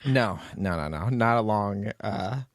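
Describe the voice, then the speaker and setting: A man with a fairly low voice hosts a casual podcast. He talks informally and loosely, rambling and cutting into his own sentences as he goes. The sound is very dry, with no room echo. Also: animated, unhurried. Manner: rambling, informal, self-interrupting. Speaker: podcast host, writer and producer. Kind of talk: casual unscripted chat.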